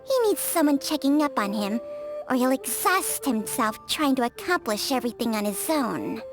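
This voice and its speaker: A woman's voice: sits high, lively intonation, moderate pace, music in the background. A woman voicing a proud, ancient female snake spirit in a video game, formal, haughty and admonishing. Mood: urgent, concerned. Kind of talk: video game character acting.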